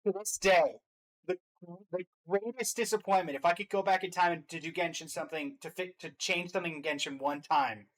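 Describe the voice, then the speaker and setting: A man's voice: high-pitched, a little fast, a bit bright, poor audio. A man chatting casually as the host of a reaction show, commenting off the cuff.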